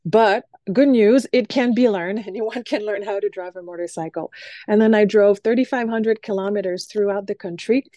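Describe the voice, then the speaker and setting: Mellow woman, dry sound. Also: mid-range voice, normal speed, dry recording. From Quebec City, Canada. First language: French. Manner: thoughtful, frequent ums, light laughs. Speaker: guest, stage performer. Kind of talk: conversational interview answers.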